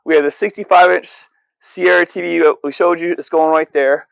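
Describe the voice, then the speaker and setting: American male installer, casual and enthusiastic vlog walkthrough, slightly higher pitch, very little room echo outdoors. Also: fairly even intonation, moderate pace, vocal fry, smooth. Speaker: audio-video installer presenting a project. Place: outdoors in a residential backyard.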